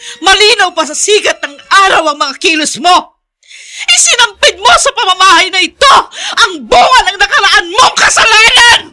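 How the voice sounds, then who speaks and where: High, forceful suspicious wife. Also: female voice, expressive, normal speed, heavily acted. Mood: furious, accusing. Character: suspicious wife and mother, wounded and accusing. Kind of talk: Filipino radio drama acting.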